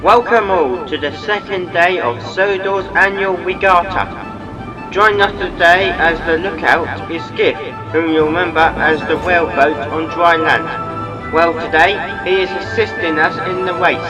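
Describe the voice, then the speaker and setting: A man's voice: on the high side, fairly even intonation, unhurried, music in the background, natural voice, poor audio. A man playing a ceremonious, welcoming boat race announcer in an amateur audio drama, speaking in a formal public manner.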